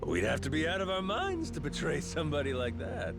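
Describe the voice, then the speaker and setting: A brash pirate captain from a video game, speaks in a lively, slightly high male voice. He swaggers through casual slang with plenty of confidence. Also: a little fast, vocal fry, music in the background, heavily acted. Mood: firm. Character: brash, cocky pirate captain, adult man with amnesia.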